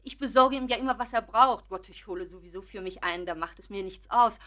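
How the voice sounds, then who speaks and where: An actress in a German radio play playing a fussy, chatty spinster who rambles in a flustered, coyly protesting way. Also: female voice, medium pitch, fairly quick, background noise, close to an everyday voice.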